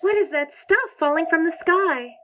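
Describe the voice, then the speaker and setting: A woman playing a gentle, devoted Martian wife in an audio play, speaking sweetly and politely. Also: extremely high pitch, normal speed, background noise. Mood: wondering.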